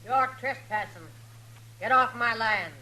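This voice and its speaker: A woman's voice: a little high, a little slow, pushed and bright, rough recording. An actress playing a hostile, hardened frontier homesteader woman, curt and defiant, in an old radio western. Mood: hard, hostile. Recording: 1950s radio recording, faint noise.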